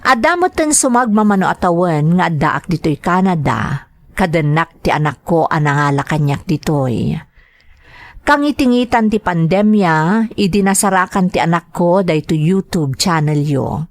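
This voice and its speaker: A woman's voice: lowish voice, lively intonation, normal speed, no room sound. A woman with a Filipino accent hosting a radio show, reading out greetings and listeners' letters. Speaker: radio host and letter reader.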